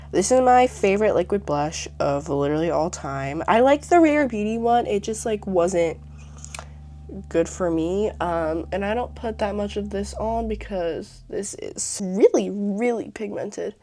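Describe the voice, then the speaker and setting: A teenage girl talking through her makeup routine in a casual, chatty way, her voice hoarse from a cold. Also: medium pitch, lively intonation, a little slow, dark tone, a little hiss or hum. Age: teens. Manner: chatty, filler-heavy, self-mocking. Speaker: beauty vlogger.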